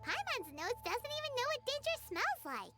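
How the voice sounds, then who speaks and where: A tiny chirpy fairy sidekick talks in an extremely high, squeaky childlike voice. The delivery is excitable and swings all over in pitch, with loud game music behind. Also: female voice, normal speed. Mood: inquisitive. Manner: excitable, talks in third person. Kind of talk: video game voice acting.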